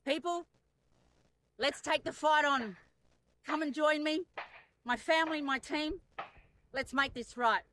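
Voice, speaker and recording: A woman's voice: sits high, takes it slowly, pauses often, bright, forceful tone, a little hiss or hum. A woman making an urgent, indignant public statement, rallying people to her cause. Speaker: activist.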